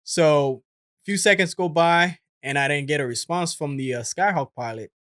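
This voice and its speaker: A man's voice: on the high side, moderate pace, a bit bright, dry recording, clean studio sound. A man giving casual, conversational explanatory commentary as a host, in an informal manner. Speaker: host, former military air traffic controller.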